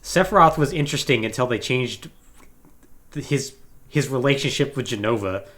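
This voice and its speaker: A podcast host chatting casually about video games in an animated way, with sarcastic asides. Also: mid-range voice, normal speed. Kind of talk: casual video game discussion.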